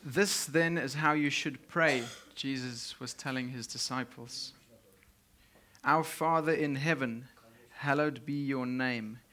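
Slow man preaching in short phrases. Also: mid-range voice, sharp tone. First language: Afrikaans. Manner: speaks in short phrases, pausing for translation. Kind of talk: sermon with live interpreter.

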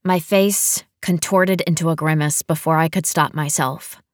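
American woman narrating, slightly low voice, dry sound. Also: steady tone, unhurried, dry recording. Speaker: audiobook narrator. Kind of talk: scripted first-person narration of a romantic comedy novel.

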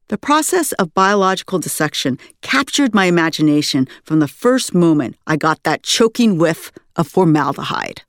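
Female American author-narrator for memoir audiobook; mid-range pitch, animated delivery, dry close studio sound. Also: dry recording. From United States, West Coast. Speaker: author reading her own audiobook.

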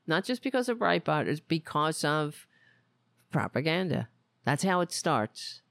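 A talk show host giving casual, rambling political commentary in a wry, self-mocking, exasperated way, often halting and drifting off on tangents. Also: mid-range voice, big pitch swings, vocal fry, fairly bright tone, no room sound, clean studio sound.

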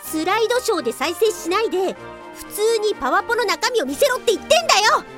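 A woman playing an exasperated, sharp-tongued office police officer in a comedy radio drama, with blunt scolding retorts. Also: very high-pitched, very animated, fast talker, faint music behind, heavily acted.

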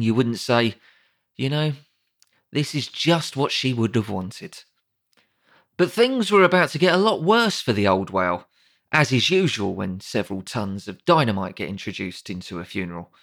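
A man's voice: mid-range voice, sing-song, normal speed, a bit bright. A British man narrating a comedic audiobook in a wry, sardonic, conversational way.

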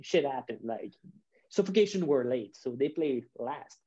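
A man's voice: medium pitch, lively intonation, unhurried, warm tone, slightly rough, lo-fi sound. A man in his thirties telling rambling stories in casual chat, with many restarts. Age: 30s. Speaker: musician, guest.

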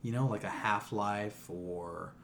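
A man's voice: on the low side, slow pace, vocal fry, fairly bright tone. Emphatic man in casual podcast chat. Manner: heavy casual swearing, emphatic. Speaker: podcast co-host.

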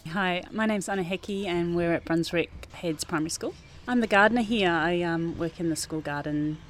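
Kiwi woman, quite low voice, garden teacher being interviewed outside, thoughtful and earnest. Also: normal speed, slight background noise. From New Zealand. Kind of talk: informal interview, reflective explaining. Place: outdoors, under a gazebo in a school garden.

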